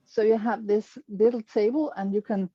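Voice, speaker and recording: A woman's voice: mid-range voice, fairly even intonation, moderate pace, slightly rough, no room sound, highs cut off. A middle-aged Danish woman with a Danish accent, showing her quilts to an audience in hesitant English, often searching for words. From Denmark. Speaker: quilter presenting her work. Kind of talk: presentation to an online audience.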